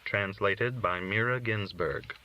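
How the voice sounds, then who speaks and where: A man's voice: lowish voice, slow pace, a little hiss or hum. A man reading literary short stories aloud as scripted narration. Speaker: audiobook-style reader of short stories. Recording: dull, lo-fi recording.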